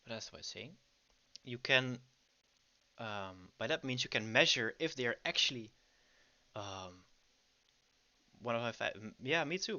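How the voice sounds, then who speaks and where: A man's voice: on the low side, pushed and bright. A man with a Dutch accent, casually teaching trading on a livestream and chatting with viewers, often checking in with "right?". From Netherlands. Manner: chatty, frequent ums and "right?" tags. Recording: dull lo-fi stream audio.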